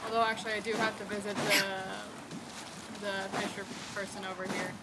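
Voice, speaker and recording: A woman's voice: medium pitch, little pitch movement, normal speed, very noisy. A woman streaming a game with casual chat, blunt and sweary in manner. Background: game music.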